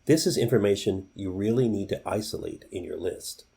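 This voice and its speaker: A man's voice: fairly low pitch, normal speed, gravelly, mellow, husky. A man presenting scripted instructional tips, speaking directly to the viewer in an instructive way.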